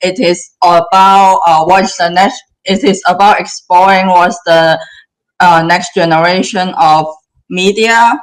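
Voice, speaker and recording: A woman's voice: lowish voice, fairly even intonation, low-quality recording. A woman in her thirties from southern China, speaking in an interview, often restarting her sentences. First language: Cantonese. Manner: halting, many restarts. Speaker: guest filmmaker. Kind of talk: casual interview talk.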